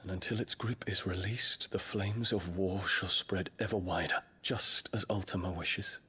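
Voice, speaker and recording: Proud, grave warrior prince. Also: male voice, low-pitched, steady tone, moderate pace, pushed and bright, breathy edge, heavily acted. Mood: solemn, resolute. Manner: formal, earnest, solemn.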